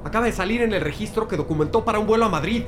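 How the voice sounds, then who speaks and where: A man playing an urgent, hurried police colleague in a crime audio drama. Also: high-pitched, background noise, close to an everyday voice. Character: urgent male police colleague bursting in with news.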